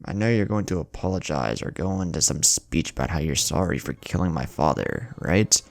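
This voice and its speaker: A man playing an earnest, sombre ninja father who speaks in a measured, reflective way in an anime fan story. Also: low-pitched, lively intonation, vocal fry, no room sound, studio quality. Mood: solemn, reflective.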